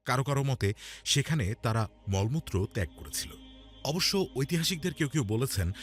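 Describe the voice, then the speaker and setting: A man narrating a scripted religious cartoon story in a dramatic storytelling style. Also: medium pitch. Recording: music under the voice. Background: background music.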